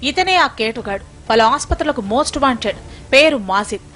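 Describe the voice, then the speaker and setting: A woman's voice: on the high side, animated, a little fast, fairly bright tone, soft background music. A woman reading a scripted news voice-over in a dramatic, emphatic manner. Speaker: news reporter doing voice-over.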